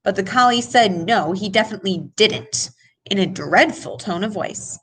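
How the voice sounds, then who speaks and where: A woman reading a children's picture book aloud in an expressive way, with dramatic emphasis. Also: mid-range voice, normal speed, husky, rough recording. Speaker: storybook reader. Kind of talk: animated children's read-aloud.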